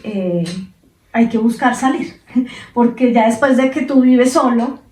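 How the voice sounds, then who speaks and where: A woman's voice: fairly high pitch, a little slow, echoey room, far from the mic, slight background noise. A woman in her forties co-hosting a podcast, chatting casually with many fillers. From Colombia. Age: 40s. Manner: relaxed, many fillers like "pues". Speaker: podcast co-host. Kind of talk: casual conversation.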